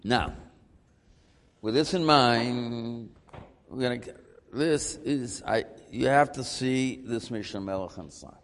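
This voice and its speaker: Male Talmud teacher, mid-pitched, animated and questioning, recorded in a reverberant hall. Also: slow, pauses often. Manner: animated, questioning, mixing Hebrew terms. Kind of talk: Talmud class lecture.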